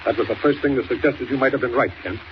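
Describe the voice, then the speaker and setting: An actor playing a blunt, stubborn, decisive state governor in a 1940s radio drama. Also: male voice, medium pitch, rapid-fire, natural voice. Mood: brisk, explaining. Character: brisk, stubborn, plain-spoken male state governor. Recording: muffled, hissy 1940s radio recording.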